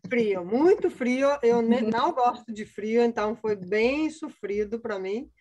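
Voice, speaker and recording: Brief: Italian-accented woman, forties, chatty scientist guest, slightly high voice. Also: animated, a little slow, warm tone, lo-fi sound. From Italy. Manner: chatty, many fillers and restarts. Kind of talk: casual interview answers.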